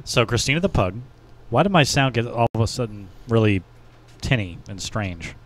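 A man's voice: medium pitch, animated, moderate pace. A man speaking casually as a podcast co-host into a studio microphone. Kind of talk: casual podcast chat, reading out reviews. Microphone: podcast microphone in a small studio.

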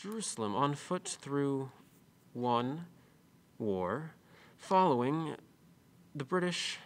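Male lecturer acted by a man, formal, fragmented history lecture, very measured pace, medium pitch, radio drama. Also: animated, slight background noise.

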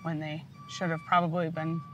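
A woman in her thirties, a paramedic, speaking in a plain-spoken, steady, matter-of-fact way in a documentary interview. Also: deep voice, normal speed, a little hiss or hum, poor audio.

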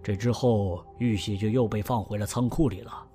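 A knowing family elder played by a man recounts an old family legend in a fan audio drama. His voice sits somewhat low and he tells it in an even, measured way. Soft music plays behind him. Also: lightly acted. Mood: explaining. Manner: explanatory, storytelling, measured.

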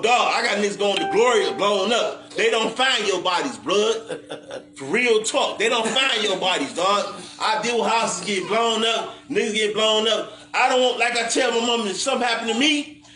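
A middle-aged American man bantering casually on a livestream in a slangy, profane, boastful way, repeating himself. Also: high-pitched. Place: living room, indoors. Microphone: phone across the room. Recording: echoey room, away from phone.

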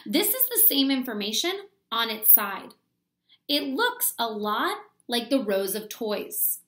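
A woman in her thirties reading a children's book aloud like a teacher, explaining deliberately and asking the young listeners questions. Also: on the high side, big pitch swings, a bit bright. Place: small plain room at home, indoors.

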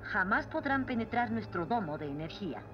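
A woman's voice: medium pitch, very animated, fairly quick, highs cut off, natural voice. A woman playing a haughty, stern queen with an imperious manner in a Latin American Spanish cartoon dub. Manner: imperious, commanding. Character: haughty, stern adult queen of a city of women. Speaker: voice actor in a dubbed cartoon. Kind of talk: dramatic cartoon dub acting. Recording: dubbed cartoon with music underneath.

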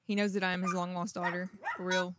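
A woman's voice: fairly low pitch, little pitch movement, fast talker, background noise. A young woman hosting a livestream in casual chat, speaking into a handheld microphone outdoors. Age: young adult. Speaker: livestream host. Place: outdoors in a garden.

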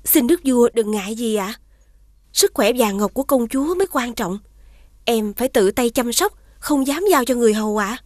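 A woman's voice: on the high side, lively intonation, moderate pace, darkish tone, no room sound, studio quality. A woman voicing a scheming witch posing as the queen's sister. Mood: sweet. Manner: haughty, commanding to servants, sweet to the king. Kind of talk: dubbed cartoon acting. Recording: cartoon soundtrack with music behind.

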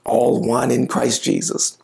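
A middle-aged man, a pastor, preaching a sermon to the camera from a home office, reading scripture and explaining it with lively gestures. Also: medium pitch, takes it slowly, gravelly. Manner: animated gestures, reading and explaining scripture, occasional quiet asides. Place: home office with bookshelves, indoors. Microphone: lapel mic, seated at desk.

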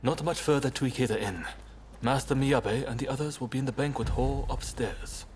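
An actor playing a composed, resolute samurai rebel leader in a video game, speaking in a guarded, formal, measured way. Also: male voice, medium pitch, a little mellow, natural voice. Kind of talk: video game cutscene voice acting. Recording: dramatic music behind the voice. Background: game score.

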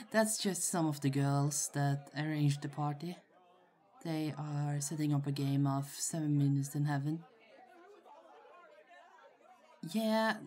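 Slow, low-voiced shy young woman, acted out by a woman. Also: darkish tone. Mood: amused. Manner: hesitant, halting, giggly. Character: shy, friendly young woman, nursing student with a crush. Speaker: voice actor in roleplay audio. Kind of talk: ASMR roleplay, acted casual conversation. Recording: faint crowd chatter behind. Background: party crowd murmur.

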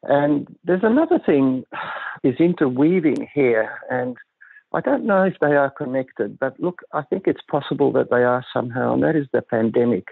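Older male guest, gravelly and husky, measured and reflective, heard over a dry, tinny phone line. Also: medium pitch, lively intonation, no room sound. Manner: measured, thoughtful, halting with restarts. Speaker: guest psychiatrist. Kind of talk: reflective interview talk.

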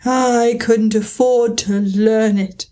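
A woman reading aloud and voicing a mournful male Mock Turtle creature who sighs and sobs as he speaks slowly. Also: fairly high pitch, steady tone, a little mellow. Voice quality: slow, drawn-out, sighing.

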